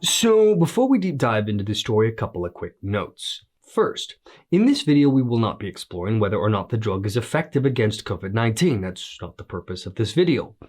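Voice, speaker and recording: A man's voice: lowish voice, very animated, fairly quick, a little mellow. A man hosting an online news show, reading scripted commentary in a slightly crackly voice after the flu.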